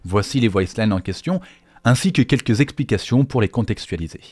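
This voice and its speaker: A man's voice: fairly low pitch, lively intonation, brisk, darkish tone. A man narrating a scripted explainer as the host of a video on game lore. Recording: faint music behind the voice. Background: faint background music.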